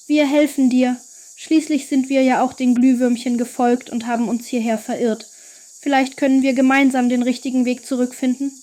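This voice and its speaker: High-pitched friendly young boy played by a woman. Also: steady tone, moderate pace, mellow, lightly acted. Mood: reassuring. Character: friendly reassuring young boy, curious brother. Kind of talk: children's bedtime audio story.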